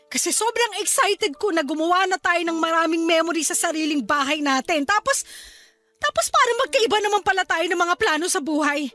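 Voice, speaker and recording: A woman's voice: very high voice, pushed and bright, heavily acted. A woman playing a young wife and new mother who is upset with her husband, hurt and close to tears, in a radio drama.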